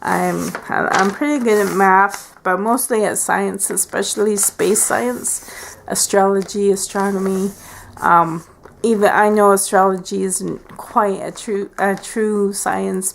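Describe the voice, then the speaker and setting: Woman, 40s, home vlog. Slow, hesitant, gravelly, lots of fillers. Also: medium pitch. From Canada. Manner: hesitant, many fillers and restarts. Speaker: beauty vlogger. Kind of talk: casual tag video chat. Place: at home by a window.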